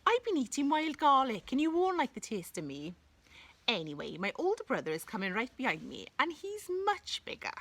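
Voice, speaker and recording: A woman voicing the second billy goat in a storybook reading, pleading and quick-witted. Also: high voice, wildly swooping pitch, fairly bright tone, pitched above the performer's own voice, exaggerated voice. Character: clever middle male billy goat.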